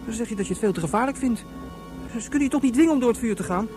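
An actor playing an anxious, reproachful young son in a Dutch radio drama. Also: male voice, very high voice, lively intonation, very fast. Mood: anxious, pleading. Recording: music under the voice.

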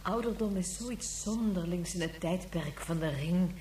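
A woman's voice: fairly low pitch, unhurried, warm tone, lightly acted. A woman playing an ageless, youthful, reasoning and protective character in a radio play, speaking earnestly, with slight noise on the recording. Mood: reflective. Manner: earnest, explaining. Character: youthful-looking 197-year-old woman, reasoning, protective, life-loving. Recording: faint noise and hum.

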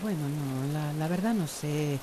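An actress playing a bold woman hairdresser in a radio drama, soothing and expressive. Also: low voice, a little slow. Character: bold, independent woman hairdresser, calming and reassuring. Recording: clear rain-like noise behind.

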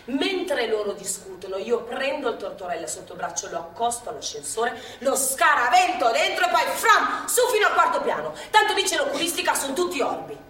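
A chatty old ex-partisan. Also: female voice, high voice, brisk, hardly any pauses. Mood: recounting. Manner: animated, chatty, acts out past scenes. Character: elderly woman, former partisan hospital helper, lively storyteller. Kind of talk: stage monologue in a radio play. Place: theatre stage. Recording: echoey stage from far off, faint hum.

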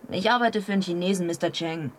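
A woman's voice: on the low side, fast, a little hiss or hum, close to an everyday voice. An actress playing a big, blunt, streetwise female wrestler and night guard in a German radio drama, brusque and confrontational. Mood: gruff, dismissive.

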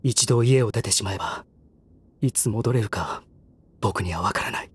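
A man playing a reserved, earnest, refined young man in a Japanese voice drama, with measured, formal phrasing. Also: lowish voice, slightly rough. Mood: calm, probing.

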